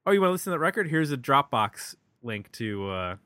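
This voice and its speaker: A man's voice: mid-range voice, very animated, fairly quick, sharp tone, no room sound. A man hosting a podcast, talking informally as he discusses records and addresses listeners. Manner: informal, conversational. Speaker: podcast host. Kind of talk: casual album discussion and show sign-off.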